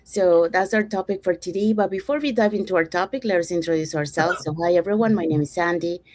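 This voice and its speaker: A woman's voice: lowish voice, fast talker, darkish tone, no room sound. A woman from Panama hosting an online business talk show, leading the segments and introducing the speakers. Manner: upbeat, guiding the segments. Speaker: host.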